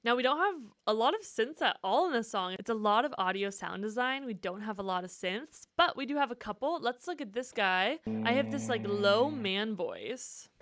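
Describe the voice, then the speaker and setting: A woman's voice: on the high side, animated, moderate pace, hardly any pauses, a bit bright. A woman giving a casual, enthusiastic walkthrough of music production in informal, slangy language, as a musician teaching. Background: music from the track being demonstrated.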